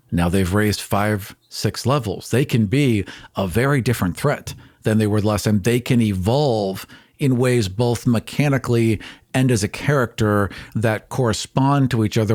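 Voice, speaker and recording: A man's voice: medium pitch, darkish tone. A man co-hosting a podcast, explaining his points in casual discussion. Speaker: podcast co-host.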